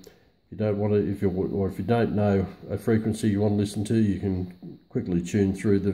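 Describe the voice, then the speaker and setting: Low, mellow man, halting delivery. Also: creaky. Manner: hesitant, halting, matter-of-fact delivery. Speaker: presenter of a radio review video. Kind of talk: casual unscripted product walkthrough.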